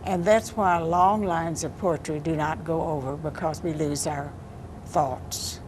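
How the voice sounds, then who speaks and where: An older woman speaks in a soft, unhurried way, giving plain answers about her writing. Her voice sits a little low. A clear hum of background noise runs under the recording. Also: steady tone. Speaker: interviewed poet. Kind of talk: casual interview answers. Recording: noisy background.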